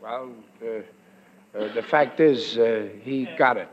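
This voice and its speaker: A man's voice: medium pitch, slow, natural voice. An actor playing a blustering, boastful working-class family man in 1940s radio comedy, stammering when flustered. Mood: sheepish. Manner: bragging, ungrammatical, comically exasperated. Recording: dull, noisy old radio recording.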